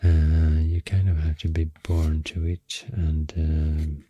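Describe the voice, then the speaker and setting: A man with a Swedish accent speaks in a very dark, low, breathy murmur that often sinks toward a whisper. He rambles gently in short, halting phrases, taking his time, as in an ASMR recording made right at the microphone. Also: monotone, no room sound. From Sweden. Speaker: ASMR creator and host. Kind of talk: soft-spoken ASMR rambling. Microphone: close, dry microphone.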